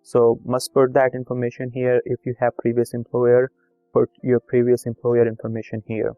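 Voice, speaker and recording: A man whose first language is Urdu guides viewers through a form in an even, monotone voice, reading each field aloud and repeating key points. The recording is dry and a little dull, with faint music underneath. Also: medium pitch, moderate pace, clear, resonant tone, no room sound. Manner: plain instructive, reads form fields aloud, repetitive. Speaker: video tutorial host. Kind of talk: screen-recorded step-by-step walkthrough.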